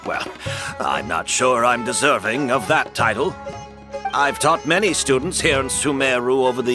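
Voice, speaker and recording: An actor playing an older master perfumer in a video game, gentle and modest toward a former student. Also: male voice, medium pitch.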